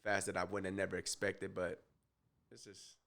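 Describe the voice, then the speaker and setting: Young american guy as podcast guest, lowish smooth voice, slangy, easygoing. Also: little pitch movement, normal speed, fairly bright tone. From grew up in Germany, American family. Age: 20s. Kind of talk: casual chat interview.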